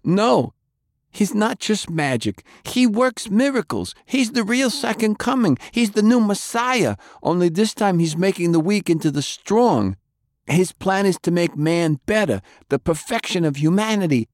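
A zealous male fanatic, pitched far above an everyday male voice and pushed loud. He preaches and taunts with defiant conviction, in a dry, close recording. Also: big pitch swings, unhurried, no room sound, heavily acted. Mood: fervent zeal. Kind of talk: audiobook character acting.

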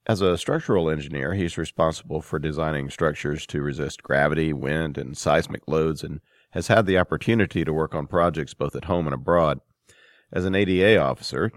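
A man's voice: a bass voice, no echo, clean studio sound. A man hosting a podcast, announcing and interviewing in a casual, conversational way with somewhat hesitant phrasing. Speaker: podcast host.

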